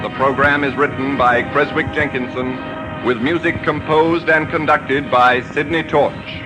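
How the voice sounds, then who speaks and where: Male vintage radio announcer: formal, projected, medium pitch, music playing clearly behind. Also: steady tone, measured pace, rough recording. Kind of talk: old-time radio announcing.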